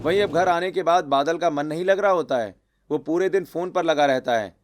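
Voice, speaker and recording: A man reading scripted narration for an animated family drama story. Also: on the high side, a little fast. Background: music.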